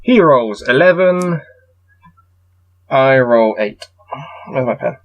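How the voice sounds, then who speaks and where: A man reading a gamebook aloud and adding low-key, wry muttered commentary as he plays. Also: expressive, takes it slowly, dull audio. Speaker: solo gamebook player and reader.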